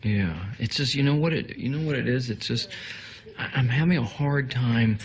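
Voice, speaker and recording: A man plays a nervous, self-effacing would-be writer in a movie scene. He speaks in a medium-pitched voice, haltingly, doubling back on his words as if apologizing. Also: lightly acted. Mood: hesitant, deferential. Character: unsure, self-effacing male aspiring writer. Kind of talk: film dialogue.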